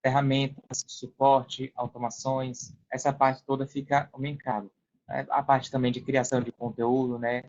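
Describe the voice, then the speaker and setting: A slightly muffled podcast recording of a young Brazilian man with a medium-pitched voice. He explains his work casually, in the manner of a guest, and keeps adding little tag questions. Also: flat delivery, normal speed, darkish tone. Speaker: podcast guest, online affiliate marketer working in support. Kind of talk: casual interview talk.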